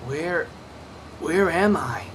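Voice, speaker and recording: A man's voice: a little high, drawn-out words, fairly bright tone, a little hiss or hum. A man playing a startled, bewildered teenage boy in a cartoon story. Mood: confused.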